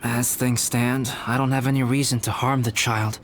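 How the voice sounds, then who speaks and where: A man playing a calm, analytical young alchemist knight in a video game, speaking formally and precisely. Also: medium pitch, over music, natural voice. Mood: composed, reasoning. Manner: measured, formal, precise, detached.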